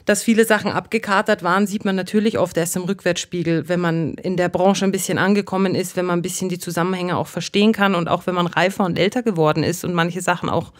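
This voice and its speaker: A woman in her thirties from Bavaria, giving a casual, reflective interview. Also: lowish voice. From Bavaria, Germany. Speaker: interviewee, former casting show contestant.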